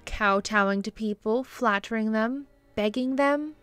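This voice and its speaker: An actress playing a kindly, long-suffering bishop's wife in earnest, gentle period drama style. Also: female voice, a little high, unhurried, smooth, no room sound, faint music behind. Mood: pleading. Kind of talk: period radio-drama acting.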